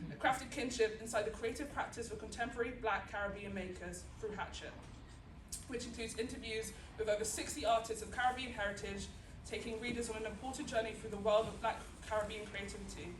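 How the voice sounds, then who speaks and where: A woman from the gallery reading a land acknowledgement and the guest's bio to an audience, steady with small restarts. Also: fairly high pitch. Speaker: gallery staff introducing the guest. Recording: echoey room, distant mic, clear background noise.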